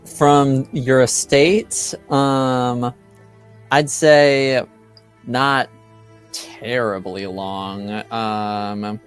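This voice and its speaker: A man's voice: mid-range voice, fairly even intonation, extremely slow, clean tone. A man narrating a tabletop game as game master, hesitant, with trailing phrases. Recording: faint music behind.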